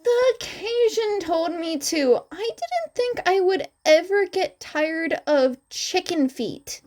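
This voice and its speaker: A woman's voice: extremely high pitch, animated, takes it slowly, a little mellow, pitched above the performer's own voice, heavily acted. A woman voicing a childlike teenage winged boy in a story reading, whiny, plaintive and sulky.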